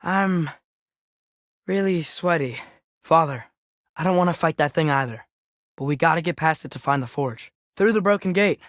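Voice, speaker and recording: A man's voice: fairly high pitch, normal speed, long pauses, fairly bright tone, no room sound, natural voice. Earnest teenage boy adventurer, close dry sound, acted by a man. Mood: focused, hopeful. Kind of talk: video game character acting.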